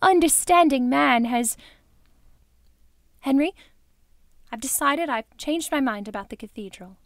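A woman's voice: high voice, expressive, a little slow, no echo, studio quality. A woman playing a wealthy older widow in an audio drama, earnest, theatrical and full of feeling. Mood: joyful, effusive. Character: wealthy older widow, wistful, emotional and effusive.

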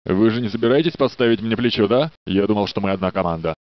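A man's voice: lowish voice, fast talker, dry recording, dull audio, close to an everyday voice. An actor playing a gallant, flirtatious male security guard in a game, courteous and teasing. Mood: flirty.

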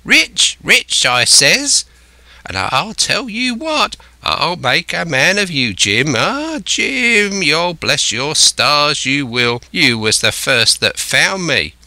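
A man's voice: on the high side, sing-song, slow pace, few pauses, pushed and bright, no echo, pitched above the performer's own voice, heavily acted. A man voicing a marooned, half-crazed old seafarer in a storybook, sly and childish, with rambling, wheedling rustic sailor speech. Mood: excited boasting.

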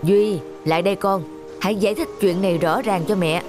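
A woman playing a gentle but firm mother in a cartoon, explaining and admonishing in a measured way. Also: medium pitch, fairly even intonation, music in the background, lightly acted. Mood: firm, reproving. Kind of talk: Vietnamese cartoon dubbing.

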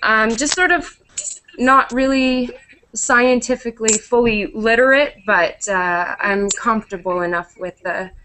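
A woman's voice: on the high side, a little slow, sharp tone, low-quality recording. A young woman with a Canadian accent introducing herself casually as a student on a video call, through a headset. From Toronto area, Canada. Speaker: course student. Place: room with wooden cupboards, indoors. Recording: faint noise on video call.

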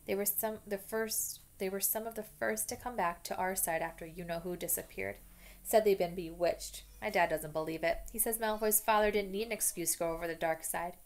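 A woman's voice: mid-range voice, steady tone, brisk, lightly acted. A woman voicing a touchy, blunt schoolboy who speaks darkly and grumbles. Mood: grumbling. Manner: grumbling, dark. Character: red-haired boy, touchy and blunt.

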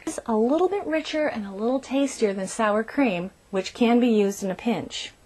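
A middle-aged woman hosting a cooking show, explaining each step in an upbeat scripted way from a small RV kitchen on a lapel mic. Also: a little high.

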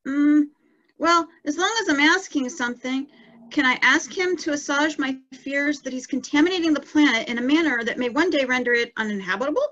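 Earnest, precise cartoon schoolgirl played by a woman, high-pitched, dulled call audio. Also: brisk, fairly bright tone, dull audio. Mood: earnest, pointed. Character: Lisa, bright earnest little girl. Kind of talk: amateur cartoon table read.

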